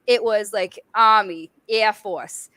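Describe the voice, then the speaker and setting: A woman from Massachusetts whose first language is Portuguese, speaking with a Boston accent. She tells stories in a lively, casual way with swearing and laughter. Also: medium pitch, slow, no room sound. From Massachusetts and the Azores. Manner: animated storytelling, frequent laughter and swearing. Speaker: guest, military veteran. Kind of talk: casual chat.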